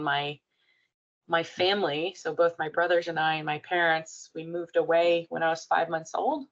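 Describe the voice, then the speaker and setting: Brazilian woman in her 40s, Portuguese native, low with vocal fry, slightly muffled recording, reflective interview guest. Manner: thoughtful, many fillers and restarts. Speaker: clinical psychologist guest. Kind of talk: podcast interview, personal story.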